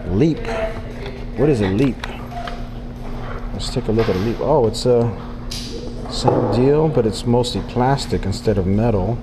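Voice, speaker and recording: A man's voice: fairly low pitch, unhurried, long pauses, noisy background. A man casually talking to himself while browsing products in a shop, exclaiming now and then, recorded on a head-mounted camera. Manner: musing to himself, exclaiming at items. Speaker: vlogger touring a shop. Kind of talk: casual handheld product walkthrough. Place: indoor retail store. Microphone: head-mounted action camera.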